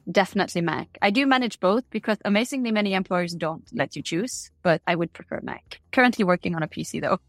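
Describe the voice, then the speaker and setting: A woman's voice: lowish voice, fast talker, hardly any pauses, dry recording, clean studio sound, faint music behind. A woman from Norway with a Norwegian accent, answering interview questions in a candid, chatty way. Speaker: interview guest.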